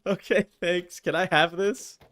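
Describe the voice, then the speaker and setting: A man's voice: high voice, steady tone, unhurried, fairly bright tone. A man giving casual livestream commentary on a game, thinking aloud in slangy language with some swearing. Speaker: livestream host.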